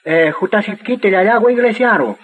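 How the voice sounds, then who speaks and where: An actor playing a courteous, purposeful church pastor in a radio drama, speaking haltingly. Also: male voice, high-pitched, expressive, narrow, tinny audio. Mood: courteous, earnest. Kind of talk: scripted radio drama dialogue. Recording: faintly noisy radio recording.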